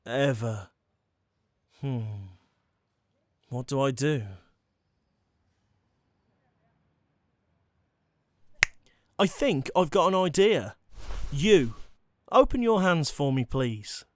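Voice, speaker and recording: A man's voice: mid-range voice, very animated, slow, no room sound. A man playing an enigmatic former vampire turned hunter in an audio roleplay, speaking in a coolly conversational, teasing way. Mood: coaxing, cool. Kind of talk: ASMR audio roleplay drama. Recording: music bed behind the voice.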